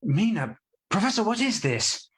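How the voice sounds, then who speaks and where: A man's voice: sits high, sing-song, normal speed, fairly bright tone, breathy edge, heavily acted. A man playing a devoted, determined young husband in a radio play, speaking urgently and earnestly in distress.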